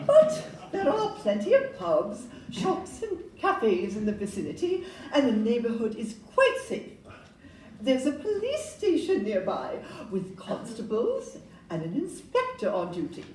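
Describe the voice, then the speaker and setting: A woman's voice: on the high side, mellow, exaggerated voice. An actress playing a polite, upbeat Victorian London estate agent in a stage audio play, genteel and salesmanlike as she glosses over flaws. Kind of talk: audio-play acting before an audience. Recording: roomy, distant live pickup, faint noise.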